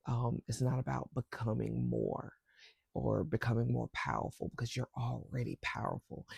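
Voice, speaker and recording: A woman with a stuffy nose guiding a spiritual intention ceremony with breathwork, speaking slowly and softly and often dropping to a whisper. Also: extremely low pitch, gravelly, husky, dry recording. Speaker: spiritual circle facilitator.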